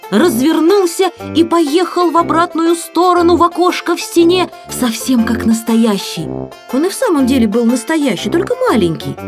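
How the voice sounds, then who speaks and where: A woman narrating a children's story as an expressive audiobook reader, with music behind her. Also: high-pitched.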